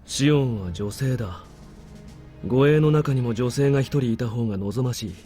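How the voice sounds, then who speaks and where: An actor playing a stern, regretful army major in a visual novel, speaking in a measured, matter-of-fact way. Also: male voice, mid-range voice, warm tone, soft background music, close to an everyday voice. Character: stern adult military major, grave and regretful. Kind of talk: Japanese visual novel voice acting.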